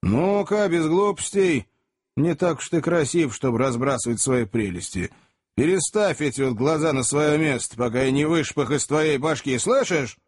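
A man voicing a rough, quick-tempered young manservant who barks threats. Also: a little high, very animated, dry recording, voice pushed up. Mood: fierce shouting. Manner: gruff threatening shouts.

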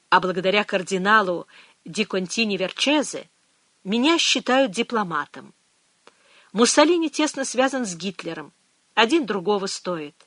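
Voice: mid-range voice, sharp tone, no room sound. A reader voicing a weary, guarded middle-aged priest in an audiobook, speaking in a restrained, reflective way.

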